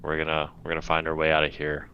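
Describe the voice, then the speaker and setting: A man's voice: a bass voice, completely flat delivery, quick pace. A man playing a tabletop game, talking casually and hesitantly as he feels his way through each sentence. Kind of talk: casual chat. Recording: dull lo-fi sound, faint noise.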